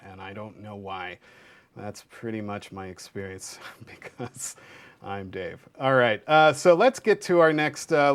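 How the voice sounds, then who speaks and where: Male host, mid pitch, halting and full of fillers, awkward dry delivery. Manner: hesitant, halting, many uh and um. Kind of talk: casual talk show hosting.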